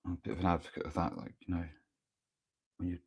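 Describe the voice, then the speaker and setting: Croaky, gravelly man with a cold. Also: sits low, level tone, fast talker. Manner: rambling, hesitant, with many ums and restarts. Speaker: miniature painter streaming live. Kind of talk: casual live-stream chat while working. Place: home workspace, early morning. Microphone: microphone near the speaker.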